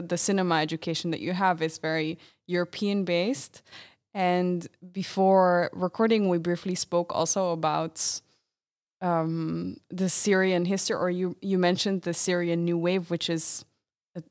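A woman host with a slightly low voice who talks in a calm, reflective way. She thinks aloud as she lays out her questions in an easy conversation. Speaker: interview host and filmmaker. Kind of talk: casual conversation.

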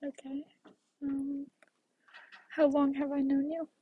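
High-voiced young woman interviewer. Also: completely flat delivery, takes it slowly, phone-quality sound. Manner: playful, teasing questions. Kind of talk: casual home interview.

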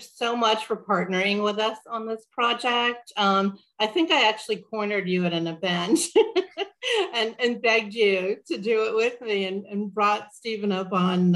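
A woman in business development introducing herself and her company at a virtual event, upbeat and promotional. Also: a bit bright. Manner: upbeat, pitch-like delivery. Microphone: video call.

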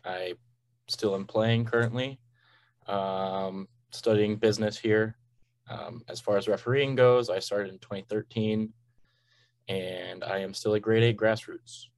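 Young guy, low flat voice, easygoing answers. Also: a little slow, creaky. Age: young adult. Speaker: referee guest. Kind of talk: casual interview answers.

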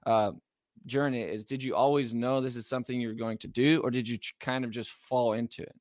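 A man's voice: medium pitch, steady tone, a little fast, a little mellow, no echo, clean studio sound. A man in his twenties hosting a podcast interview, upbeat and eager, with constant affirmations. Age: 20s. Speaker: podcast host. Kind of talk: interviewing, casual chat.

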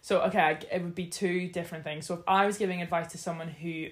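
A young woman with a slightly deep voice, co-hosting a casual chat and thinking aloud with frequent restarts. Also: fairly even intonation, fast. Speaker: podcast co-host.

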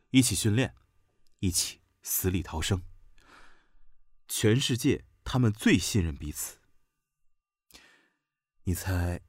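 Man acting as brooding young officer, low voice. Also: slightly rough, no room sound, studio quality, full character voice. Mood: quietly teasing. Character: proud, brooding young male military officer in love. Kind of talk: Chinese radio drama acting.

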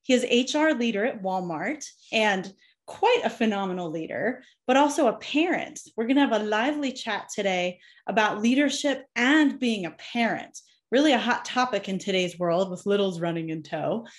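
A woman's voice: medium pitch, animated, normal speed. A woman hosting a podcast, upbeat and encouraging as she introduces her guest and asks him questions. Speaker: podcast host. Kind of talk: podcast interview.

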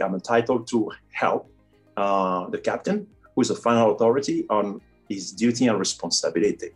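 A man in his forties from Cameroon with a Cameroonian French accent, answering interview questions earnestly with frequent fillers. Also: fairly low pitch. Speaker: airline first officer, guest.

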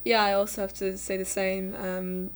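A woman's voice: mid-range voice, level tone, moderate pace, a little hiss or hum. A young woman chatting casually as a student host, thinking aloud and hedging as she goes. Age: young adult. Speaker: student host asking questions. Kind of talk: casual chat.

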